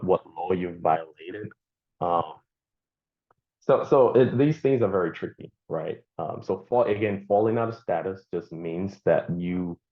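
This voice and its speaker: A Nigerian man with a very low voice speaks as an interview guest. He pauses often, repeats himself and fills gaps with ums. The sound is dull and lo-fi. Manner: halting, many fillers and repeated words. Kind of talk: conversational interview answers.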